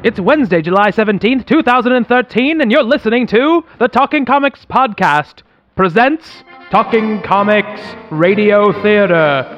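A man hosts and narrates in the style of an old radio serial. His voice sits high, with a theatrical announcer delivery and music playing beneath it. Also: expressive, normal speed, lo-fi sound. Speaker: podcast host and narrator.

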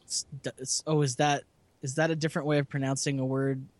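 A man's voice: mid-range voice, little pitch movement, quick pace, a little hiss or hum. A man co-hosting a casual podcast chat, rambling and self-deprecating, laughing often. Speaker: podcast co-host.

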